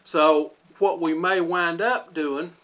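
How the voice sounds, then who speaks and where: A man's voice: a little high, fairly even intonation, slow, muffled recording. A man hosting a homestead channel, talking casually to viewers in a folksy, rambling way and thinking aloud as he goes.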